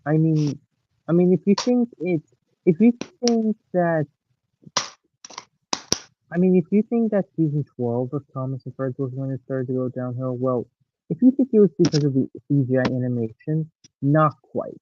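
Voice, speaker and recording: A man's voice: a little high, moderate pace. A man in his late teens chatting as a stream guest, hesitant but opinionated. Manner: hesitant, animated, opinionated fan. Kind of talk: casual chat. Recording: tinny phone-like call line with faint hiss.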